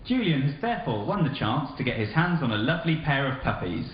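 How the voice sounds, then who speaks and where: Formal announcer guy, lively intonation, echoey speaker sound, loads of noise. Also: medium pitch, fast. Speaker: reality show's official announcer. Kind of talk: formal scripted announcements to contestants. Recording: echoey, dull, very noisy house audio.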